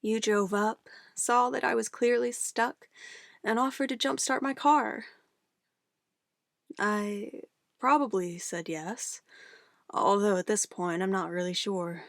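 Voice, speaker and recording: A woman's voice: mid-range voice, measured pace, vocal fry, long pauses, dry recording. Woman acting as an obsessive, controlling witch, close and dry. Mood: possessive, controlled. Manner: coaxing endearments turning to firm commands. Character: obsessive, controlling young witch, sweetly menacing yandere lover. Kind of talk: ASMR roleplay acting.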